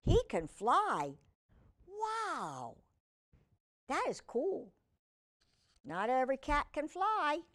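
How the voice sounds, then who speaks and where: A woman with a fairly high voice reads a picture book to young children. She takes her time, with a very slow pace and lively swings in pitch. Her wording is simple, and she often turns to the listener with questions. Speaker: children's storyteller and humane educator.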